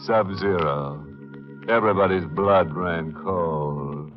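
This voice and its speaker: Deep, ghoulish horror host. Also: male voice, slow. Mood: macabre glee. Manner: sardonic, savoring morbid puns. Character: ghoulish, gleefully macabre mystery host with mock-genteel wit. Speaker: horror anthology host and narrator. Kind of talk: 1940s radio acting, scripted narration. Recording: muffled 1940s radio recording with music.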